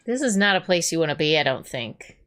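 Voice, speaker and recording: A woman's voice: sits low, sing-song, a little fast, a bit bright. Playful woman joking through a movie. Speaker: movie reaction co-host. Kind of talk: casual reaction commentary.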